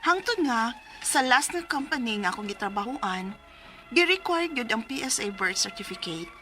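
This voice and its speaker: An actress playing an earnest young Filipina daughter in a Bisaya radio drama, expressive, with a Cebuano accent. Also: female voice, a little high, moderate pace, faint music behind. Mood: earnest recounting. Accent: Cebuano Philippine. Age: young adult. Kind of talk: 1990s Bisaya radio drama acting, narrating her story.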